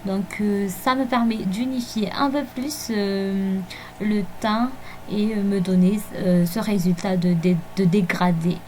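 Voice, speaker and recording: A woman whose first language is Malagasy, narrating a journal planning video in a hesitant way with many fillers and soft laughs. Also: medium pitch, steady tone, a little slow, a little hiss or hum. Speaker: bullet journal vlogger. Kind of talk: voice-over narration of a plan with me. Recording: faint music behind voice-over.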